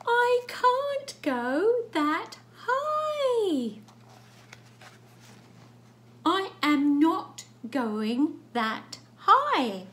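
A woman voicing a timid, anxious female cat in a children's storybook, with drawn-out wailing protests. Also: very high voice, big pitch swings, fairly bright tone, slight background noise, pitched above the performer's own voice, exaggerated voice. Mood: anxious, refusing.

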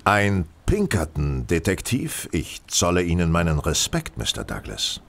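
An actor playing a dry, condescending master detective with formal speech in a German radio play. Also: male voice, low voice, huge pitch swings, unhurried, vocal fry, a bit bright, full character voice. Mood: dry, superior.

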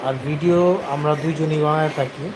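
A young man talks casually to viewers as a live streamer. His voice sits a little high for a man. Clear background noise runs through the recording. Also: fairly even intonation, moderate pace.

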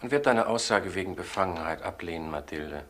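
A resigned lawyer in a crime radio drama. His voice is very low and subdued. He talks with gloomy fatalism. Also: lively intonation, fairly quick, fairly bright tone. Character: resigned, gloomy male lawyer under suspicion.

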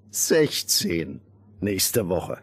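An actor playing a dry, practical miller father, gruff and wry but fond, in a fairy-tale radio play. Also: low-pitched, wildly swooping pitch, extremely slow, exaggerated voice.

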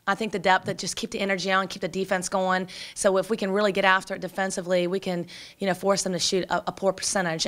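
A woman in her forties, a basketball coach, talking quickly and energetically at a postgame press conference, with many fillers and restarts, into a table microphone. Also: on the low side, fairly bright tone. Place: press room before a sponsor backdrop. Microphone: table microphone in front.

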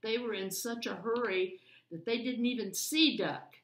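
A woman in her sixties reading a children's story aloud in an expressive, deliberate way. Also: on the high side. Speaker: storybook reader. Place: home dining room, indoors. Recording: roomy echo, distant room microphone.

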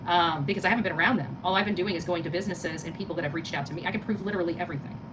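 A middle-aged American woman hosts a casual livestream from home. Her voice sits a little low and she talks quickly, pressing her points with indignant emphasis and repeating herself. Clear background noise runs under the phone recording. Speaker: live stream host. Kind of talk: casual livestream commentary, chatting with viewers. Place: small living room, indoors.

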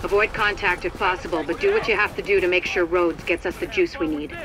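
A woman's voice: on the low side, steady tone, fairly quick, natural voice. Actress for woman agent commander in a video game. Wry, controlled, matter-of-fact briefings. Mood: businesslike, wry. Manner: businesslike, dry. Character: composed, dryly wry female agent commander. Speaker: mission commander.

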